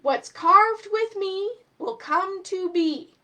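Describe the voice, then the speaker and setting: Woman playing an eager, high-voiced young girl woodcarver. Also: lively intonation, slow, bright, forceful tone, recorded from a distance, exaggerated voice. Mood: excited. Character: young sister woodcarver, eager, resourceful, easily tired.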